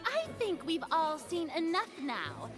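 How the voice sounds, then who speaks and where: A woman's voice: extremely high pitch, huge pitch swings, takes it slowly, a bit bright, heavily acted. A woman voicing a haughty, theatrical young water goddess in a video game, with grandiose courtroom oratory over music. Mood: triumphant, grandiose. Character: theatrical, haughty young female hydro archon presiding over a trial. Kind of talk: dramatic video game voice acting.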